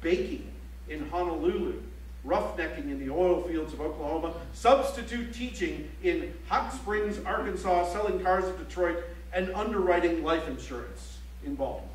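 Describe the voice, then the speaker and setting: A man playing a grave, pointed political chronicler, reciting formally on stage in a measured, emphatic way. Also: a little high, natural voice. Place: library auditorium stage. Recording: echoey auditorium, distant mic, faint hum.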